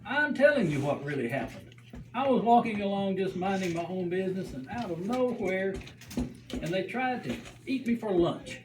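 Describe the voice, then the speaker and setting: A performer voicing an excitable, adventurous puppet in a children's church show, telling things with dramatic exaggeration. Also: medium pitch, normal speed, close to an everyday voice. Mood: defensive. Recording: roomy, distant mic, faint background noise.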